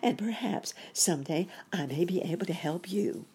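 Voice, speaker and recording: A woman's voice: on the low side, moderate pace, dark tone, slightly rough, pitched above the performer's own voice. A woman voicing a tiny female ant in a storybook, fretful, polite and plaintive. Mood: grateful.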